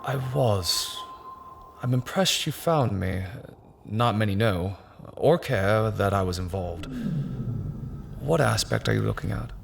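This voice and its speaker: A guarded artist in an audio drama, his voice very gravelly and swinging widely in pitch. He sounds wary and wry, with noisy ambience around him. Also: lively intonation, slow, heavily acted. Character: Michael Ramsey, guarded male artist, former site foreman.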